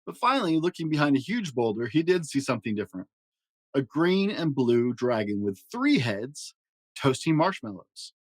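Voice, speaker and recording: Male storybook reader, mid-pitched, animated bedtime delivery with dramatic pauses, recorded dry. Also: no room sound, low-quality recording. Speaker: podcast host reading a children's storybook aloud. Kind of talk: expressive storybook narration.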